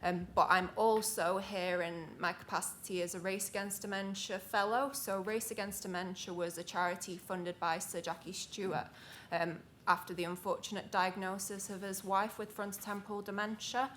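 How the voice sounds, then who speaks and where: A woman academic introducing herself on a panel. She explains things in a measured way, with frequent "um"s and "kind of"s. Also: mid-range voice, flat delivery, fairly bright tone, slight background noise.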